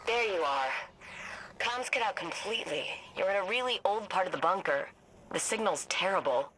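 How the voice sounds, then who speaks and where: A woman's voice: medium pitch, lively intonation, moderate pace, noisy background, natural voice. An actress playing a curious, analytical woman researcher talking over comms in a video game cutscene. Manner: inquisitive, analytical. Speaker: guardian's radio contact. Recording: game audio with loud score.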